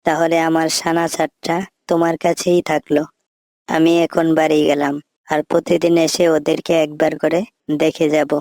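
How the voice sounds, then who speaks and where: A woman's voice: low-pitched, level tone, normal speed, a little mellow, dry recording, studio quality. A woman voicing a trusting, simple-minded crocodile parent in a children's cartoon fable, earnest and plainspoken. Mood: polite, hopeful.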